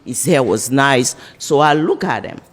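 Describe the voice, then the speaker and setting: A woman's voice: a bass voice, animated, measured pace, breathy edge. Echoey courtroom, haitian lady in her 60s just chatting out her answers. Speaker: defendant's wife in court. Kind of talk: casual conversational answers. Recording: echoey courtroom with long reverb.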